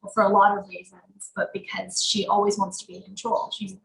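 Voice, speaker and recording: Young woman actor, Portuguese native, casual rambling interview talk with a gravelly edge. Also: medium pitch, flat delivery, fairly quick. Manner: chatty, lots of fillers like "um" and "like". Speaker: actor guest. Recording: roomy echo.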